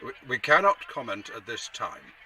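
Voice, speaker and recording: A man's voice: mid-range voice, big pitch swings, moderate pace, sharp tone, recorded from a distance, a little hiss or hum. A man playing a guarded police spokesman who reads a formal press statement in an audio drama.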